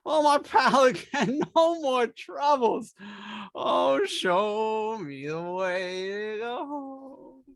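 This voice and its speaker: Tipsy henpecked husband, florid and wheedling, swooping pitch, 1950s radio drama, dry sound. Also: male voice, animated, extremely slow, no echo, heavily acted. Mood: tipsy, rambling. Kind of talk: 1950s old-time radio acting.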